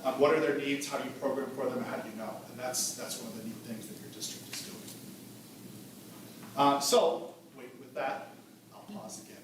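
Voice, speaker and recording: A man's voice: mid-range voice, brisk, background noise. A man explaining assessment data to a school board in a conversational way, often repeating words while he thinks aloud. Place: board meeting room. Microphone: table microphone.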